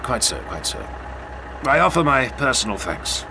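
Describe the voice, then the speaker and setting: A man's voice: mid-range voice, big pitch swings, normal speed, gravelly, background noise. A man voicing a courteous army commander, speaking formally and graciously in a dramatized audiobook. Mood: formal gratitude. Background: music.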